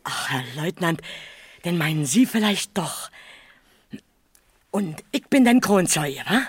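A woman acting in a German radio crime drama as a chatty, excitable, nosy witness, speaking colloquially with a Berlin accent. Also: lowish voice, slow, husky, no room sound, full character voice. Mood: curious, self-important. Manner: colloquial, garrulous, self-important.

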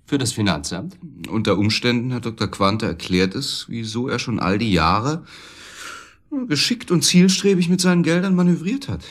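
A man's voice: mid-range voice, lively intonation, moderate pace, no room sound, studio quality, lightly acted. A man acting in a German radio drama as a persistent, probing tax official, pointed, colloquial and lightly sardonic. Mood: pointed, ironic.

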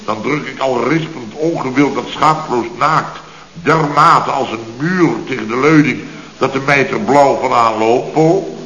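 An actor playing a blustering, indignant, pompous company boss in a radio comedy serial, speaking in mock-formal, long-winded phrases. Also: male voice, mid-range voice, unhurried, a bit bright, natural voice. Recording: roomy, dull old radio recording.

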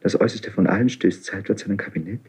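An actor playing a highly strung young crown prince in a classical radio play, declaiming intensely with a husky voice. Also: male voice, quick pace, a little mellow. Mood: agitated, suspicious. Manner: impulsive, intense, theatrically declaiming. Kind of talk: classical German radio play stage acting.

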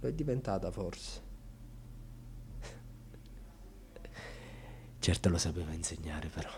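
An actor playing a proud, wounded, defiant grandson in a dramatic radio monologue, with a husky voice. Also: male voice, sits low, animated, a little fast, a little hiss or hum. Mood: intimate, reflective. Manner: dramatic, swinging from hushed confiding to fierce outbursts.

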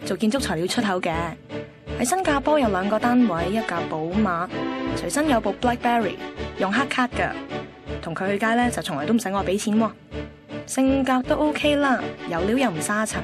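A woman's voice: medium pitch, animated, a little fast, over music, natural voice. A woman with a Hong Kong Cantonese accent playing a picky, chatty host in her twenties, narrating a radio drama conversationally. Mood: playful, confiding. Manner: cheeky, self-assured, conversational.

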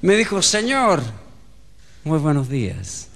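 Chilean preacher, bright and lively, in a hall. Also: male voice, on the high side, takes it slowly, vocal fry, slight background noise. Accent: Chilean Spanish. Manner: storytelling preacher, acting out dialogue. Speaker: pastor. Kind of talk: sermon.

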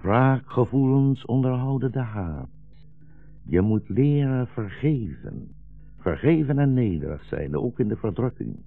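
An actor playing a weary, gentle old blind rabbi in a 1960s radio drama, speaking slowly and solemnly as a philosophical storyteller. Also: male voice, medium pitch, animated, gravelly, faint music behind. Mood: solemn, preaching. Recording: dull, roomy 1960s radio recording.

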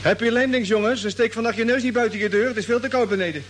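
A man playing an eager, grumbling, chatty spaceship radio operator in lively, colloquial old radio drama style. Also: very high-pitched, fast talker. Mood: excited. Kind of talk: 1950s Dutch radio drama acting. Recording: old, faintly noisy radio recording.

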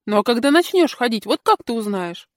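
A woman voicing a bright, bossy little kindergarten girl in a storybook, brisk and instructive. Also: fairly high pitch, very animated, no room sound, studio quality. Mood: scornful, insistent. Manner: know-it-all, instructive, brisk. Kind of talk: storybook character dialogue.

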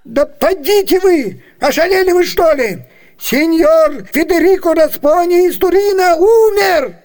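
Comic radio role: blustering old merchant, irascible, high-pitched, spluttering and sceptical. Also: male voice, a little slow, darkish tone. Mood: exasperated. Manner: spluttering, exclamatory. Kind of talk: theatrical comic radio play acting.

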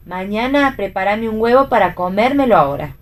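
A woman's voice: mid-range voice, animated, a little fast, natural voice. A woman with an Argentine accent playing a breezy, teasing friend in a radio theatre reading. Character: Romy, breezy teasing female friend giving advice.

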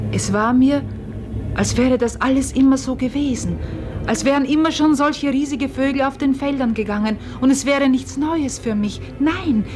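Actress as wondering farmer's wife, music underneath. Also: female voice, medium pitch, close to an everyday voice. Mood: awed, hushed wonder, then searching, intent. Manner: measured, literary, deliberate delivery. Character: farmer's wife recounting an uncanny event with wonder. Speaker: narrator. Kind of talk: first-person radio play narration.

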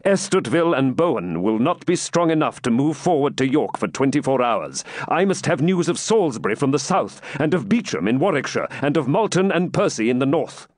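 A man's voice: mid-range voice, very animated, a little fast, few pauses, dry recording, clean studio sound, pitched above the performer's own voice. A man voicing a proud, hearty warrior king in a dramatic storybook reading, with grand, commanding, archaic speech. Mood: earnest, commanding.

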